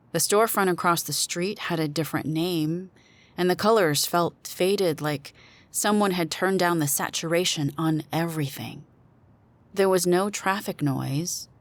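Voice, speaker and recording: A woman narrating a suspense story in the first person, as a dramatic reading. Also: low voice.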